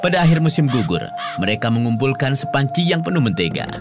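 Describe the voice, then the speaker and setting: A man's voice: mid-range voice, big pitch swings, fast talker. A man narrating a scripted children's story as the storyteller. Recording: music behind the voice. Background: music.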